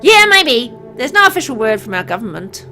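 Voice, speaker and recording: A woman's voice: on the high side, sing-song, brisk, sharp tone, faint music behind, voice pushed up, exaggerated voice. Woman playing a caring, stubborn visiting girlfriend. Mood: worried. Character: caring, stubborn young woman visiting her sick partner.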